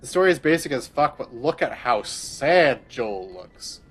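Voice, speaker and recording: A man's voice: medium pitch, big pitch swings, measured pace, a bit bright, husky, faint music behind. A man streaming a game, rambling crudely in casual commentary and laughing often.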